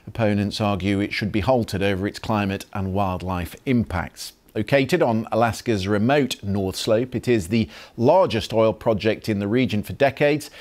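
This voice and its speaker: A man's voice: on the low side, a little mellow. A man reads scripted narration for a news report in a formal reporting style.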